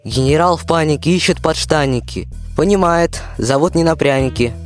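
A man's voice: a little high, normal speed, soft background music, lightly acted. A man narrating a fairy tale in folksy rhymed verse for a radio play.

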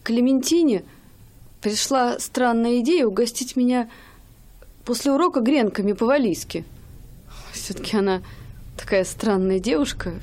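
An actress playing a loving, stubborn young wife in a radio play, chatty and pleading. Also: female voice, fairly high pitch, normal speed, pauses often, a little mellow, lightly acted. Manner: chatty, pleading, sometimes hesitant. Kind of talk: radio drama acting.

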